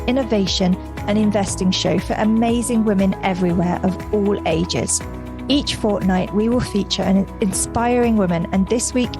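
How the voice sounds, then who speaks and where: A woman hosting an interview podcast, reading a scripted intro and then asking friendly questions. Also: medium pitch. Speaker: podcast host.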